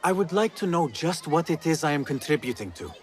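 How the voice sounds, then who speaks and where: A man's voice: a little high, expressive, a little fast, natural voice. A man playing a confident, teasing young street thief in a video game. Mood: earnest. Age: young adult. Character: cocky, playful, warm-hearted young male street thief. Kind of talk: dramatic video game acting.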